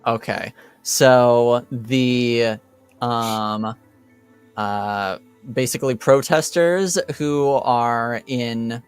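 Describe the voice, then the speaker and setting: A man running a tabletop game as game master, narrating casually and haltingly as he thinks aloud. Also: mid-range voice, clean tone, no room sound, faint music behind.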